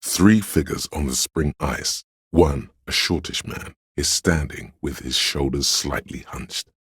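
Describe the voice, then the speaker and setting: An actor voicing a grave, watchful, wry inner voice of police loyalty in a video game, with a gravelly, husky bass and measured, solemn storytelling. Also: male voice, fairly bright tone, no room sound, clean studio sound, exaggerated voice. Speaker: game narrator. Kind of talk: scripted literary narration.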